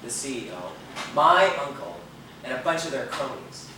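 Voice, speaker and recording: An actor on stage playing a guarded, world-weary rebel who speaks in terse, understated replies. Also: male voice, mid-range voice, very animated, normal speed, recorded from a distance, close to an everyday voice. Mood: bitter. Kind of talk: theatrical stage acting. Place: theater stage, picked up from a distance. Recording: roomy, noisy videotape of stage play.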